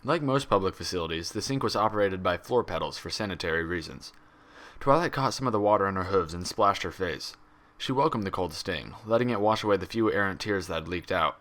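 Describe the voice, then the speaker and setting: A man's voice: deep voice, lively intonation, a little fast, a bit bright. A man reading fan fiction aloud as a narrator, in a dramatic scripted storytelling style.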